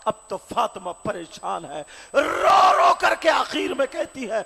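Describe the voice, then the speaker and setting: A man preaching a devotional sermon, telling the story with impassioned shouting and chanted, sing-song phrases. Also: high-pitched, sing-song, creaky, slightly rough. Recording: reverberant hall with faint crowd.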